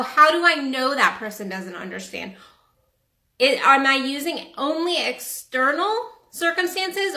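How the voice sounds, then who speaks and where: A woman's voice: high-pitched, sharp tone. A woman life coach talking in a casual livestream monologue, rambling and earnest, with frequent restarts and the odd swear word. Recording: roomy echo.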